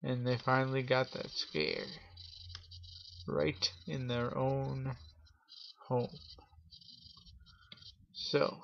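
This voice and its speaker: Slow-talking man telling spooky stories, lo-fi. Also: mid-range voice, flat delivery. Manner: theatrical showman address with drawn-out pauses. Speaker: host of a Halloween story show. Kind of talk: spooky storytelling to an audience. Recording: dull lo-fi audio with clear noise.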